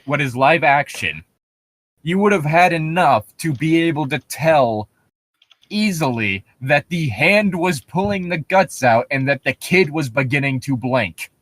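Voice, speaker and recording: Man, casual comedic commentary, lively swinging pitch, sweary nitpicking, very dry recording. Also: dry recording. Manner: profane, incredulous nitpicking. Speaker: podcast co-host reading and commenting on a horror story.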